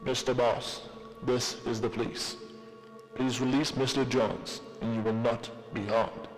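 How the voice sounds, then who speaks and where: A man's voice: mid-range voice, steady tone, measured pace, soft background music, natural voice. A man voicing a firm police officer who gives formal commands from a distance. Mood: commanding. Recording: distant voice with long reverb.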